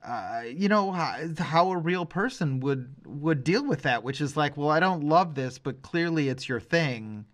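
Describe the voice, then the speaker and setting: A man's voice: fairly high pitch, animated, unhurried, few pauses, fairly bright tone. A man co-hosting a podcast, discussing film casually, making his points emphatically and explaining as he goes. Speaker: podcast co-host.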